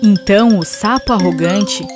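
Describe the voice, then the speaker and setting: A woman's voice: mid-range voice, moderate pace. A woman with a Brazilian accent narrating a scripted story for children. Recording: music behind narration. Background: music.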